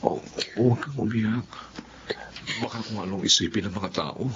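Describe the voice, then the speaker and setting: A man's voice: on the low side, moderate pace, natural voice. An actor playing a kind, patient male friend, soothing and reassuring, in a radio drama. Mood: gently consoling. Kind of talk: Tagalog radio drama acting. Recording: muffled lo-fi audio with loud noise.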